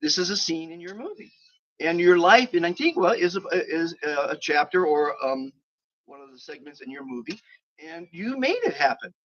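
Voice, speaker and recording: A man with a fairly high voice rambles cheerfully as he hosts a casual outdoor chat. His talk wanders with fillers and little chuckles. The sound is dull and lo-fi, like a live stream. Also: expressive, moderate pace, a bit bright. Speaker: host interviewing a guest. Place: outdoors on a balcony overlooking volcanoes.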